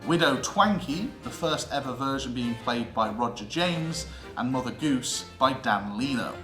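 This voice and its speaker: A British man presents an educational script in a bright, forceful voice. His delivery is theatrical and emphatic, broken by deliberate pauses. The room adds noticeable echo, and music plays underneath. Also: medium pitch, lively intonation. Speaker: presenter of a theatre history series.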